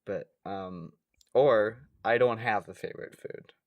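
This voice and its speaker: A man's voice: on the low side, a little slow, no echo, phone-quality sound. A man co-hosting a podcast in casual comedic banter, rambling along playfully. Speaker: podcast co-host.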